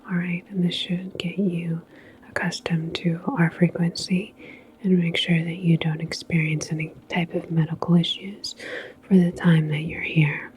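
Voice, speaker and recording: A woman playing a calm, reassuring transit station attendant in a sci-fi ASMR roleplay, speaking in a soft, breathy whisper with a halting, chatty manner. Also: on the low side, slight background noise, lightly acted.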